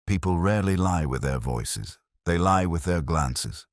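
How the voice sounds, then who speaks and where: A man narrating a scripted first-person detective story in a measured, reflective, literary manner. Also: low voice, expressive, dry recording, clean studio sound.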